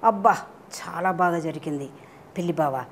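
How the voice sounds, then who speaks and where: A woman's voice: lowish voice, moderate pace, slight background noise, lightly acted. A woman storyteller voicing a sly, greedy little mouse in a children's tale, coaxing and chatty. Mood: breezy, evasive.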